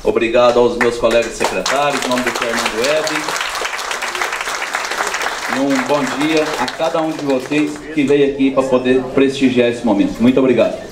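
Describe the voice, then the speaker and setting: A man's voice: mid-range voice, fairly even intonation, moderate pace, over a noisy crowd, heavy background noise. A man in his forties with a Brazilian accent, speaking informally as an official at a public ceremony on a handheld microphone. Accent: Brazilian Portuguese. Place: crowded room at a public ceremony. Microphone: handheld microphone over PA. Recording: echoey amplified room sound.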